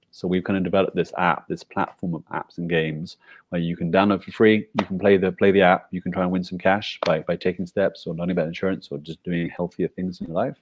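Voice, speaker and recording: British startup founder, deep voice, brisk and eager explainer, dry video-call audio. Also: male voice, darkish tone, dry recording, highs cut off. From Britain. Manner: explains eagerly with rhetorical "right?" checks. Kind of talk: casual video call interview.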